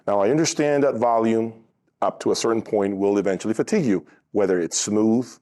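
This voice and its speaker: A man's voice: fairly low pitch, a little fast, mellow. A man hosting a casual on-location interview, speaking emphatically as an interviewer and reviewer. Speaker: interviewer and audio review show host.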